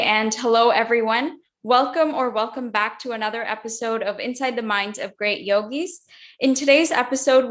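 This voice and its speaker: A woman hosts an interview over a video call, her voice sitting a little higher than most. She reads her introduction and asks her questions in an upbeat, gracious way. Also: a bit bright. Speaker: host.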